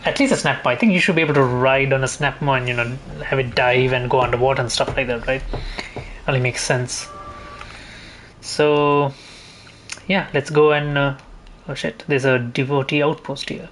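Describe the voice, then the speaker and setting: A man's voice: medium pitch, fairly even intonation, moderate pace, a bit bright, a little hiss or hum. A man giving casual gameplay commentary as a streamer, rambling and thinking aloud as he plays. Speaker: gaming streamer. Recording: faint game ambience behind. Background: video game ambience.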